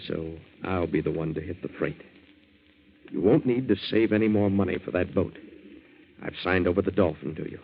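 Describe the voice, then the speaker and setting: An actor playing an earnest, plain-spoken young American boat hand in a 1940s radio drama. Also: male voice, low voice, vocal fry, slight background noise. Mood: somber reading. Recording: muffled 1940s radio broadcast.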